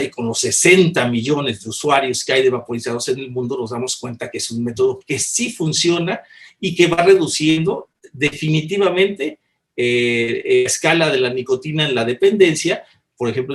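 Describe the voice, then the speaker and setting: Earnest man making his case. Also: fairly high pitch. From Mexico. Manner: earnest, repeats and restarts, explains with everyday examples. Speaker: panelist. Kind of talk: conference talk.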